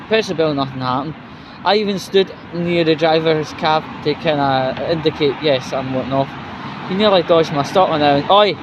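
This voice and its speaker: A Scottish man rambling casually as he vlogs outdoors, sweary and irreverent, with halting pauses. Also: high-pitched. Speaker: vlogger. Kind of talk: rambling casual walking vlog, chatty. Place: outdoors on a city street. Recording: noisy outdoor street with traffic.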